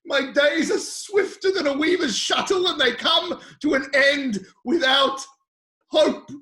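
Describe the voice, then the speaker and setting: An actor playing an anguished sufferer who pleads bitterly with God and friends in an epic, halting, impassioned dramatic scripture reading. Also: heavily acted. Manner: impassioned, halting, swinging from low murmurs to anguished shouts. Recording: roomy, echoing space.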